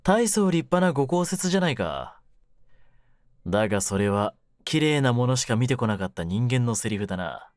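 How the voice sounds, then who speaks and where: A man playing a cynical, wounded young mafia kidnapper in an audio drama, with a low voice and rough, brooding delivery. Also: sing-song, moderate pace, no room sound, natural voice. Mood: cold, taunting. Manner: rough masculine slang, taunting, brooding delivery. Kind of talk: Japanese situation-voice audio drama, ASMR.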